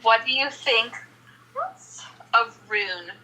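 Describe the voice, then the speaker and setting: A woman's voice: on the high side, slow, bright, forceful tone, slight background noise. A woman in a casual chat on a phone call, putting questions to a friend.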